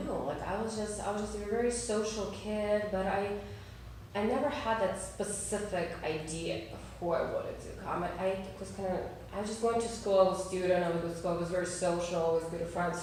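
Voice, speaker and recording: A woman in her thirties who grew up in Russia and Armenia, with Russian as her first language, telling her life story in a casual interview with many pauses and restarts. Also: fairly low pitch, very echoey, recorded from a distance, slight background noise, rough recording. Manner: hesitant, many fillers and restarts, frequent laughs. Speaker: interview guest.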